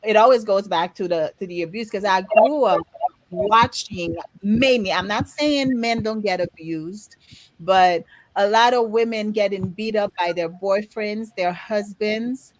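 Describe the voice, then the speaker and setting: A woman's voice: mid-range voice, a little slow, dry recording, rough recording. This is a woman in her forties from Haiti, speaking with a Haitian accent as she co-hosts a casual podcast. She tells her stories frankly and with animation, often breaking into laughter. Her voice sits in an ordinary middle register, recorded dry and close. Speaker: podcast co-host. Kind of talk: candid conversational podcast chat.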